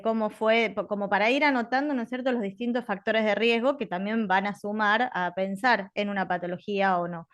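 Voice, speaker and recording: A woman's voice: mid-range voice, clean tone. A woman with an Argentine accent, presenting at a conference and explaining a clinical case, thinking aloud with many fillers. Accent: Argentine Spanish.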